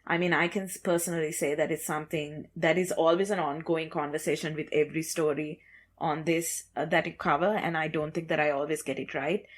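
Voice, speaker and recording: A woman with a slightly low voice speaks as a journalist on a discussion panel. She is reflective and a little hesitant, working out her thoughts as she goes. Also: steady tone, fairly quick.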